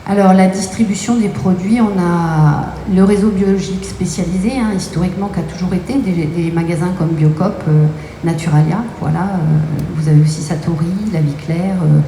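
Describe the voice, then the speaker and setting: A woman's voice: on the low side, fairly even intonation, moderate pace, warm tone. A woman from Brittany, a company co-owner, answering interview questions with many hesitations. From Brittany, France. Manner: explanatory, many hesitation fillers. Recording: echoey room with faint noise.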